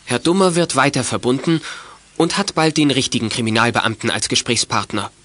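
A man's voice: medium pitch, fairly quick, fairly bright tone. A man narrating an audio drama from a script. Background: music.

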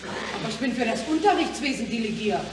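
An actress playing an earnest schoolteacher turned revolutionary delegate in a German radio play, firm and defensive. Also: female voice, a little high, lively intonation, fairly quick, natural voice. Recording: very echoey, distant, noisy.

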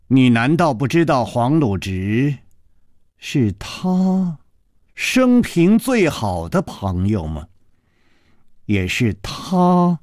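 A man's voice: mid-range voice, darkish tone, no room sound, clean studio sound, voice pushed down, exaggerated voice. A man playing a jealous, volatile, suspicious lover in a martial-arts story, with probing, demanding speech.

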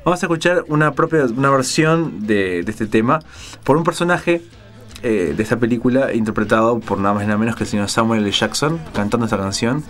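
A man hosting casual music talk, halting at times and repeating his phrases. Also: mid-range voice, expressive. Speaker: radio show host.

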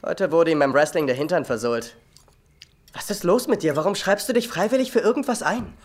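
A man's voice: fairly high pitch, animated, a little fast, a little hiss or hum, natural voice. A young male performer playing a cynical, scornful teenage older brother in a German audio drama, a slacker know-it-all.

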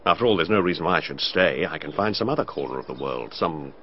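An actor playing a self-absorbed, dryly amused artist in a radio drama, with a British accent and a casual, detached manner. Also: male voice, low-pitched, lively intonation, fast. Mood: dreamy, aloof. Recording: dull lo-fi radio recording with faint noise.

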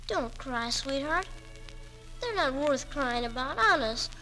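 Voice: sits high, animated, slow pace, pushed and bright, heavily acted, poor audio. A young performer playing a loyal, plucky small boy in a 1940s radio drama, blunt, slangy and earnest. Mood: consoling. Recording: vintage 1940s radio broadcast, music.

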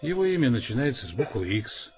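A man's voice: mid-range voice, sing-song, quick pace, darkish tone, faint music behind, lightly acted. An actor playing an enigmatic man who speaks in a theatrical, savouring manner in an audio drama. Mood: portentous.

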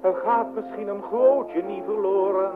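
Loud music plays behind a narrow, old radio sound. An actor plays a young Dutch would-be writer in a musical radio comedy, his fairly high male voice plaintive, self-pitying and melodramatic as he rhymes. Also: steady tone, moderate pace, close to an everyday voice. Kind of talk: old-fashioned theatrical radio comedy song and monologue.

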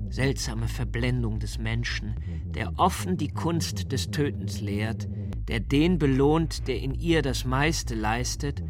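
A man playing a provocative libertine philosopher in a deliberate, declamatory radio reading. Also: mid-range voice, noisy background. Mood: rhetorical, insistent. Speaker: reader of a philosophical pamphlet. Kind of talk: dramatic radio reading of a polemical text. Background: music.

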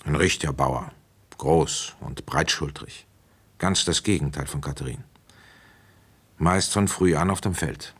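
An actor playing a middle-aged village police inspector in a German radio play, measured and matter-of-fact. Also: male voice, extremely low pitch, a bit bright, husky, full character voice. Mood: sober, reflective. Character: seasoned male village police inspector telling his case.

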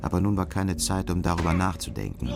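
A man's voice: low voice, expressive, fast talker, gravelly. A man narrating a scripted literary radio drama.

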